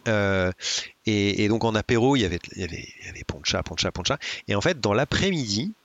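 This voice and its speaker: A man telling stories in a casual chat, hesitant, with many pauses and restarts. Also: on the low side, expressive, no room sound, lo-fi sound. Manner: hesitant, many filler sounds and restarts, storytelling. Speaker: podcast guest.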